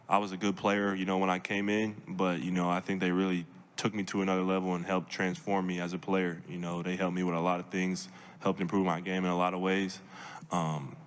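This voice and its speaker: A young man from Seattle answering reporters' questions at a press conference, measured, with many fillers and restarts. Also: sits low, clear, resonant tone. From Seattle, Washington. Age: young adult. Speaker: draft pick at press conference.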